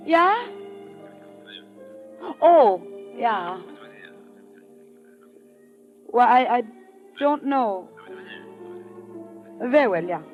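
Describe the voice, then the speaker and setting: Sultry femme fatale with swooping intonation. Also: female voice, a little high, sing-song, very slow, pauses often, heavily acted. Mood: flustered. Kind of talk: 1950s radio drama acting, romantic scene. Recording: muffled old radio recording with music.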